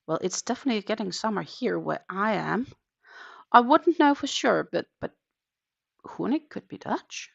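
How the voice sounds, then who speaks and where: A woman's voice: medium pitch, very animated, moderate pace, gravelly, a bit bright, breathy edge. A woman in her thirties, a native Danish speaker, chatting casually on a live crochet stream and counting her stitches aloud from her home. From Denmark. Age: 30s. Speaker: crochet channel host. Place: home in the Netherlands. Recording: dull lo-fi live-stream audio.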